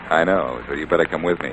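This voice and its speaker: Low-voiced wisecracking radio detective. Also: male voice, a bit bright. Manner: wisecracking, nonchalant. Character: wry, flirtatious, self-assured male amateur detective. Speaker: lead actor in a detective radio series. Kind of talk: old-time radio drama acting. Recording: noisy vintage radio recording.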